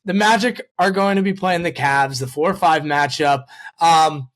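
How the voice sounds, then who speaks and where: A man's voice: fairly high pitch, measured pace, fairly bright tone. A man hosting a sports podcast in a casual, rambling way, repeating words and correcting himself as he goes.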